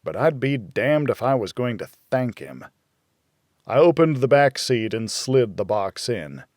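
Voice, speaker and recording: A man reading a hardboiled first-person thriller as an audiobook narrator, in a measured, dramatic storytelling way. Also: mid-range voice, very animated, a little mellow, dry recording, clean studio sound.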